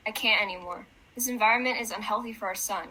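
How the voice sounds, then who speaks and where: A woman's voice: medium pitch, fast, bright, forceful tone, rough recording. A woman playing an exasperated, grieving wife at breaking point in a radio drama, firm and reproachful.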